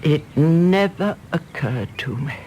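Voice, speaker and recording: An actress playing a gentle, concerned elderly English mother in a radio play, indulgent of her grown son. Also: sits low, animated, takes it slowly, a little hiss or hum. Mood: sad, gentle. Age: older.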